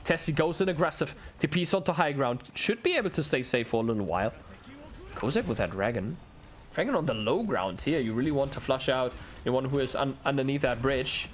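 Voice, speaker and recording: A man's voice: mid-range voice, a little fast. A young man with a German accent, whose first language is German, doing solo play-by-play commentary on an esports match, building up with the action. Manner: excitable, ramps up with the action, chats with viewers. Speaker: esports caster.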